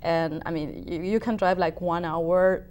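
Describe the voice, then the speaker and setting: Uruguayan woman, soft-pitched and hesitant. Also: steady tone, a little slow. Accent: Uruguayan Spanish. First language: Spanish. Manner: hesitant, many restarts and fillers. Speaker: opera singer, guest. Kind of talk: casual interview answers.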